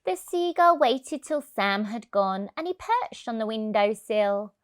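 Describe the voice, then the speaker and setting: A woman's voice: fairly high pitch, animated, a little slow. A woman reading a rhyming picture book aloud expressively for young children.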